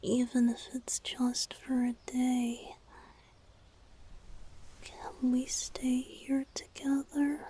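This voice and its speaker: A woman voicing a tender, playful, lovesick young male bard spirit in a romantic ASMR roleplay, speaking in a breathy, whispery, intimate way. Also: high voice, level tone, dark tone, heavily acted. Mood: wistful longing. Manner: tender, intimate, slow and hesitant.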